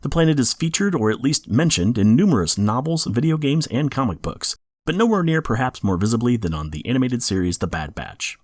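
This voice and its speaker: A man's voice: medium pitch, big pitch swings, fairly quick, few pauses, no echo, studio quality. A man hosting a podcast in scripted, informative narration. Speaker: podcast host.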